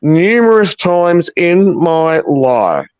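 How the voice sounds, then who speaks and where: An Australian woman with a deep voice calling into a livestream, telling a story bluntly and with plenty of swearing. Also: big pitch swings, drawn-out words, darkish tone, clean tone, dry recording, dull audio. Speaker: viewer caller. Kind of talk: casual chat.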